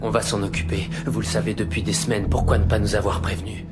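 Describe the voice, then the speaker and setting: Man playing a very deep-voiced, terse young hacker. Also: level tone, fast, lightly acted. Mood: urgent. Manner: terse, short blunt sentences. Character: tense, socially withdrawn young male hacker, stubborn. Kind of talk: dubbed TV thriller acting. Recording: loud music under the voice.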